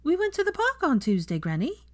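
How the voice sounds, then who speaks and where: A woman voicing an excitable, cheeky little boy in a storybook reading, earnest and eager. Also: high voice, brisk, voice pushed up. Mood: confused. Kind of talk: fan fiction audiobook character voice.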